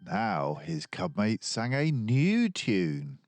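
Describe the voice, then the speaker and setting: A man reading a children's storybook aloud in an animated, expressive way, acting out the story. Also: on the low side, very slow, vocal fry. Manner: animated, acts out dialogue. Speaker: children's book reader.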